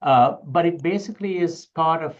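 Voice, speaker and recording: A man's voice: fairly high pitch, normal speed, lo-fi sound. A middle-aged man from Sri Lanka, answering conversationally as an interview guest. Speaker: interview guest, university educator and researcher.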